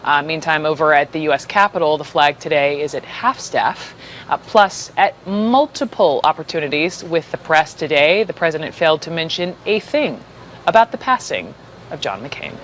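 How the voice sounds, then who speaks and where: An American woman anchors live news in a low-pitched voice. There is faint noise behind her as she speaks to viewers and reporters. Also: expressive, moderate pace.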